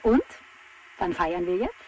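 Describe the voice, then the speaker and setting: A woman's voice: on the low side, sing-song, measured pace, mellow. A woman playing a cheeky, chatty salon owner and willing ally, speaking over two-way radio in a German children's drama. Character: lively, game female salon-owner ally. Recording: noisy radio link.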